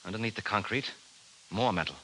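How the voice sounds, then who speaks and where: An actor playing an anxious, bewildered American husband in 1950s radio drama style, speaking in an urgent, halting, emphatic way. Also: male voice, fairly low pitch, lightly acted. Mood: alarmed. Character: anxious, bewildered suburban husband shaken by discoveries. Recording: hissy 1950s radio recording.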